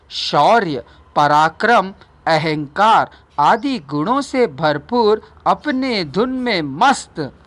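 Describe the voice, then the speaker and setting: A woman narrating a non-fiction book as a scripted reading, deliberate and formal, pausing between phrases. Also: medium pitch, fairly bright tone. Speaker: audiobook narrator.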